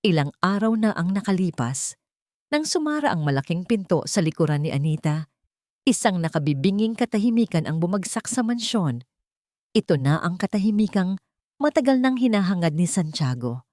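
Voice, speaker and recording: A woman narrating a romantic drama in a solemn, measured, theatrical storytelling style. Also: lowish voice, darkish tone, no room sound, studio quality.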